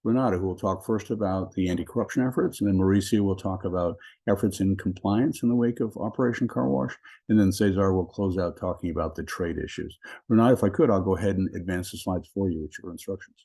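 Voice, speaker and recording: Male law professor hosting a webinar, low mellow voice, measured with ums, video call. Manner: measured, with frequent ums and restarts. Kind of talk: webinar introduction.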